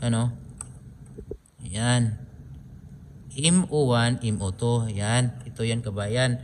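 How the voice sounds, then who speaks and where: A man's voice: medium pitch, takes it slowly, a little mellow. Filipino man explaining, with background noise. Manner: repetitive, step-by-step explaining with frequent pointing phrases. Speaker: DIY electronics tutorial host. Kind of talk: informal hands-on wiring demonstration.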